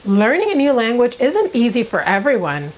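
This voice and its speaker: A woman's voice: a little high, wildly swooping pitch, normal speed. A young woman from Cuba and South Florida, a native Spanish speaker, telling a personal story to camera in an earnest, emphatic way. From Cuba, later South Florida. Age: young adult. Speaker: presenter of a personal video channel. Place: small furnished room indoors.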